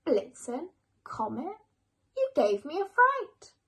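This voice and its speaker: A woman's voice: high-pitched, wildly swooping pitch, slow pace, a bit bright, exaggerated voice. A woman voicing an anxious, dramatic Father Christmas in a children's storybook, emphatic and theatrical. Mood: startled. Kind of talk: children's storybook character reading.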